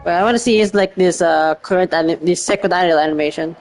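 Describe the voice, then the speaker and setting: A woman's voice: lowish voice, quick pace, darkish tone, soft background music. A woman doing casual gameplay commentary as a streamer, thinking aloud in a hesitant way. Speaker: game streamer.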